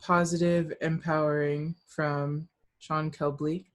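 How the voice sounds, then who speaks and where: A woman's voice: deep voice, level tone, takes it slowly. A woman taking part in a book discussion, speaking in a casual, reflective way and relaying comments.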